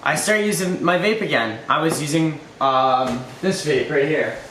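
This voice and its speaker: Slightly hoarse young man, rambling vlog. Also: on the high side, moderate pace, fairly bright tone, echoey room, a little hiss or hum. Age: 20s. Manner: rambling and loose, lots of "like" and "you know". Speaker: YouTuber. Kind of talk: casual story-time vlog.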